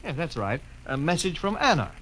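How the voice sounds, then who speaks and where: An actor playing an easygoing brother and former pilot in a 1950s radio drama, breezy and a bit evasive. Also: male voice, fairly high pitch, big pitch swings, brisk, fairly bright tone.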